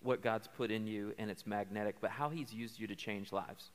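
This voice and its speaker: A man's voice: on the low side, steady tone, normal speed. A man, a pastor hosting a casual interview on stage, playful and informal. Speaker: host, pastor. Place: stage in a large indoor auditorium. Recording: reverberant hall, some background noise.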